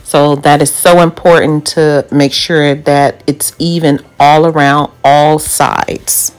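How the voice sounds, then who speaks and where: A woman's voice: low-pitched, flat delivery, slow pace, clear, resonant tone. A woman narrating a home do-it-yourself project over video, explaining each step plainly with occasional ums. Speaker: home DIY vlogger.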